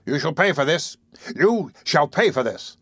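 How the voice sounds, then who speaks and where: A man's voice: a little high, a little fast, fairly bright tone, dry recording, clean studio sound, pitched above the performer's own voice, close to an everyday voice. A man voicing a spiteful, raging old hunchbacked man in an audiobook, sneering and menacing. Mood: indignant rage. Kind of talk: audiobook character voice.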